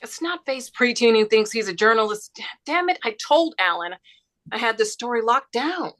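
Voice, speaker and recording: A woman playing a frazzled journalist, halting and awkward as she improvises, in a stage comedy read. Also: on the high side, animated, fairly bright tone. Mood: panicked. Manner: flustered, halting.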